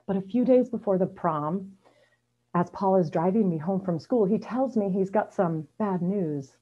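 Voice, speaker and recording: A dull, lo-fi video call recording of a middle-aged woman with a fairly low voice. She tells a first-person story as an amateur at a story slam. Her delivery is wry and self-deprecating. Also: warm tone.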